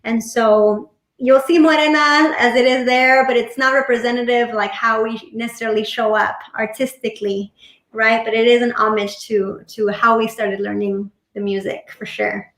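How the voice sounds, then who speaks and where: A woman whose first language is Spanish, a musician guest chatting casually on a video call from home, relaxed and full of fillers. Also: on the high side, normal speed, roomy sound. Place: home room. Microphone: laptop webcam mic on video call.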